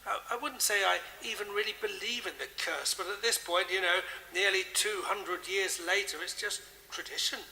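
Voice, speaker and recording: An actor playing a genial, chatty English country vicar with a genteel, chummy manner. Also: male voice, high voice, fairly even intonation, normal speed, few pauses, pushed and bright, slight background noise, heavily acted, poor audio. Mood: genial, dismissive. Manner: genteel, chummy, dismissive of superstition. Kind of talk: audio drama acting.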